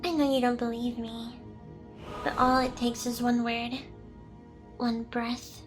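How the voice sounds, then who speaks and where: A woman's voice: on the high side, little pitch movement, slow pace, music in the background. A woman playing a smug, seductive, possessive demon boss in an ASMR roleplay, with a teasing, theatrical delivery. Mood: intense, vulnerable.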